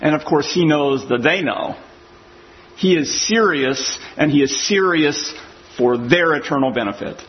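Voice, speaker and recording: High-voiced man preaching on a dull recording. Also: a little slow. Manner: reads scripture aloud, then explains it verse by verse. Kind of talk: expository sermon.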